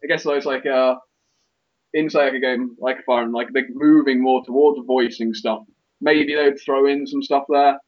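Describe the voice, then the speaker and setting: A man chats casually as a podcast co-host. His voice is of average pitch. The audio is slightly dull and lo-fi. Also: fairly even intonation, moderate pace.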